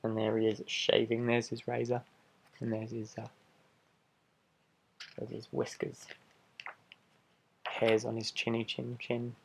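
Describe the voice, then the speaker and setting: A man reading a picture book aloud, with quiet, casual asides that point out the pictures. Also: mid-range voice, monotone, takes it slowly, creaky, long pauses, a little hiss or hum, low-quality recording.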